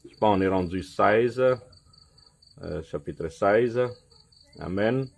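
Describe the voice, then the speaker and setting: A man with a fairly low voice preaches in measured, unhurried phrases. He is not a native speaker, so his French is careful and has small slips. He stops often to ask his flock "ok?" before going on. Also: creaky. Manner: slow and deliberate, checks in with "ok?", many pauses and fillers. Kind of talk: sermon and Bible teaching.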